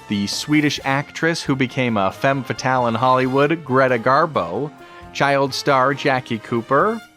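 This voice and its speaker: A man's voice: mid-range voice, pushed and bright. A man hosting a podcast, giving a scripted introduction to an episode. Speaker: podcast host.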